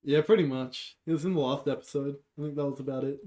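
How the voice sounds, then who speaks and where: A man's voice: mid-range voice, fairly even intonation, fast talker, mellow. A man co-hosting a podcast in casual banter, with loose, slangy phrasing. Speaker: podcast co-host.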